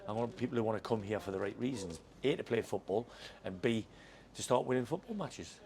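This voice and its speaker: Low-voiced football manager, frank. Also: male voice, lively intonation, a little fast, darkish tone. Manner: frank, reflective, repeats words while thinking. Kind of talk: post-match interview. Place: football stadium.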